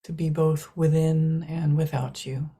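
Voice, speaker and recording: Female meditation guide: low, mellow, hushed delivery in short, spaced-out phrases. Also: fairly even intonation, husky. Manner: soft, hushed, long pauses between short phrases.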